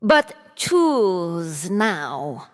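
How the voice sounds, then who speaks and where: A woman's voice: lowish voice, sing-song, heavily acted. Woman acting a haughty, deadly noblewoman duelist in reverb. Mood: cold warning. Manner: formal, imperious, measured delivery. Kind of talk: fantasy audio drama acting. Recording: long hall reverb over music.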